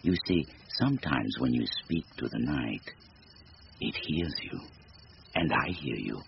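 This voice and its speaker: An actor playing a sinister supernatural bargainer in a radio drama, gravelly, with a deliberate, formal, taunting delivery. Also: male voice, rumbling low, lively intonation, full character voice. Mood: sly, taunting. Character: sinister supernatural stranger, silky, devilish bargainer, bored and mocking. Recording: noisy, muffled radio drama recording.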